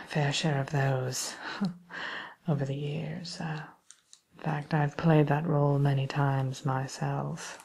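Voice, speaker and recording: A sly evil queen, played by a woman, tends her shop of cursed treats in an ASMR roleplay. Her voice is low and extremely breathy, mostly whispered. She speaks slowly and coyly, with genteel, teasing menace and a touch of loneliness. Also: a little mellow, heavily acted. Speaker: roleplay shopkeeper addressing a customer.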